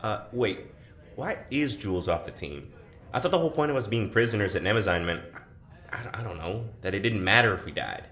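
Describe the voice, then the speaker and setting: An actor playing a wary, reluctant male prisoner in an audio drama, halting and stammering, in an echoey room. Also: fairly low pitch, animated, fairly bright tone. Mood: confused, protesting. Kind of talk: audio drama acting, naturalistic.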